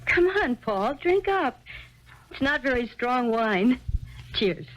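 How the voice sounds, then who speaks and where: An actress in a 1970s radio drama playing a calm, knowing young woman who talks with quiet, sweet insistence. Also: sits high, slow pace, full character voice. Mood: serene, knowing. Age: young adult. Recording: dull lo-fi archival radio broadcast.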